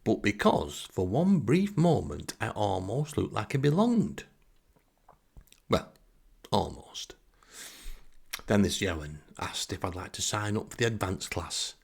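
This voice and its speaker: A man's voice: fairly low pitch, sing-song, measured pace, gravelly, pauses often, darkish tone, breathy edge. A middle-aged man with a Lancashire accent from northern England, hosting a podcast with a wry, self-deprecating, grumbling comic monologue. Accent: Northern English, Lancashire. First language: English. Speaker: podcast host. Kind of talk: comic first-person monologue.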